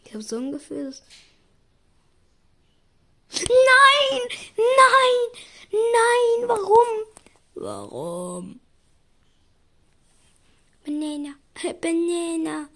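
A hoarse young woman giving casual gaming commentary, excitable and yelping at results, slipping into a raspy near-whisper when she talks quietly. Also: extremely high pitch, drawn-out words, pauses often. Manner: excitable, shrieks and groans at spin results. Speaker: gaming YouTuber.

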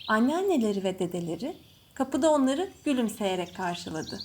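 High-voiced woman narrating a children's story. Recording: faint background noise with birdsong. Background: birds chirping.

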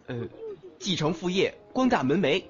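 A man's voice: sits high, big pitch swings, normal speed. A young man playing a caring, playful, coaxing youth in an audio drama. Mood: pleading, evasive. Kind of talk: Chinese audio drama acting. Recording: dull lo-fi audio with music.

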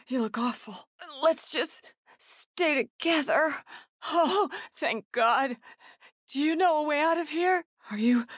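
A woman's voice: high voice, no room sound, clean studio sound. A woman playing a frightened, desperate mother in a survival horror video game, with pleading, gasping delivery. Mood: wary, hopeful. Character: desperate, frightened mother searching for her missing son.

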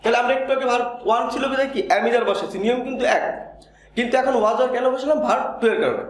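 A man's voice: very high voice, very fast. A man in his thirties with a Bangladeshi accent lecturing as a grammar teacher, emphatic and didactic, often answering his own questions. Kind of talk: classroom-style video lecture. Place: indoor room in front of a whiteboard. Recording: very echoey, reverberant room.

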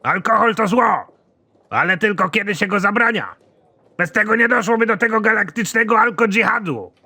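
Casting: male actor as foul-mouthed cartoon general, high and sharp, bossy, easily exasperated. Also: normal speed, vocal fry, husky, exaggerated voice. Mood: emphatic. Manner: commanding, crude, exasperated. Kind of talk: animated comedy dialogue.

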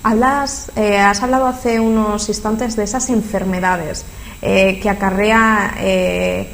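A woman's voice: mid-range voice, steady tone, takes it slowly, vocal fry. Brief: young Spanish-accented woman, local TV presenter, formal with hesitations, faint hiss. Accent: Spanish from Spain. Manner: formal, scripted openings with hesitant fillers. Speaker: TV interviewer. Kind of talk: local TV interview. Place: local TV studio.

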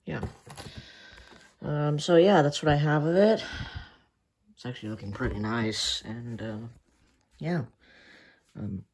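A man hosts a casual show-and-tell vlog, speaking slowly in a hesitant, soft-spoken way. His pitch sits in an ordinary middle range. He is recorded indoors at home on a handheld phone camera. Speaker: hobby channel host.

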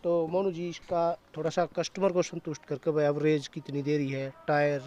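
A man's voice: on the high side, flat delivery, normal speed, a little mellow, no room sound. A man, hosting a casual walkaround of a used car lot outdoors, chatting and talking up prices into a handheld mic. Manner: chatty, hyping prices, asks dealer questions. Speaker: host of a used car channel. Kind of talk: casual walkaround sales video.

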